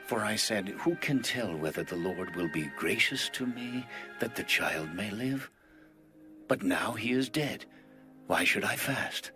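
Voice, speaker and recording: A man's voice: lowish voice, animated, unhurried, over music. An actor playing a solemn, grieving and resigned king in a dramatized audio Bible. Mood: solemn grief.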